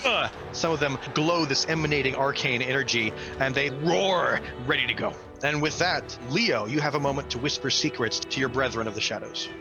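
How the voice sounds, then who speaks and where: A man's voice: medium pitch, fairly quick, few pauses. A man narrating a tabletop role-playing game as game master, in an earnest, theatrical and descriptive way. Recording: prominent music under the voice. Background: music.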